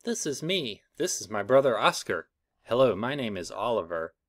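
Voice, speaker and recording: Lively man reading a picture book. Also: normal speed, no echo. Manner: lively storybook reading with playful pitch jumps. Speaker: reader of a children's book.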